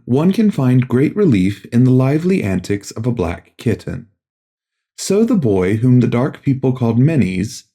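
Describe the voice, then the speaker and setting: A man narrating a gothic tale from a script as an audiobook, in a measured, solemn storytelling manner. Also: medium pitch, warm tone.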